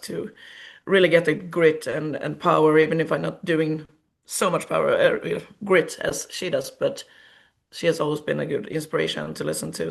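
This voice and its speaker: A Swedish woman, a singer with a naturally low, raspy alto voice, chatting in an interview with a Swedish accent. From Sweden. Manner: chatty, trailing fillers. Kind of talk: casual interview chat.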